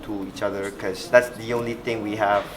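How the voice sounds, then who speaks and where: Low, flat-voiced young man. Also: normal speed, poor audio. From Sarawak, Malaysia. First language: Iban. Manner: thoughtful, hesitant, with frequent restarts. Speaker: interviewee. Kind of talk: reflective personal interview. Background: background music.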